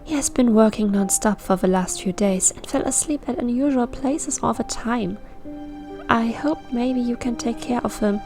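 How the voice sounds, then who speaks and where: Woman voicing a high, stammering, flustered young girl. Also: normal speed, darkish tone, faint music behind, natural voice. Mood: worried, rambling. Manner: stammering, rambling, earnest. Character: flustered, timid, huffy young girl with animal ears.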